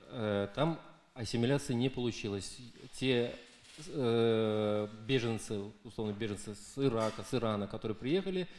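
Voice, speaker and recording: A man's voice: medium pitch, darkish tone. A man responding to a conference talk with rambling, hesitant remarks full of fillers. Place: conference hall. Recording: echoey room.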